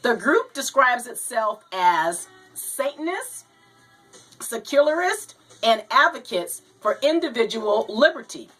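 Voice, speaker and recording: A woman hosting a video show, slow and halting, a little hoarse from a cold, talking to viewers and reading aloud. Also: on the high side, sing-song, fairly bright tone, faint music behind, rough recording. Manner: slow, halting, says "you know" and "now". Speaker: host.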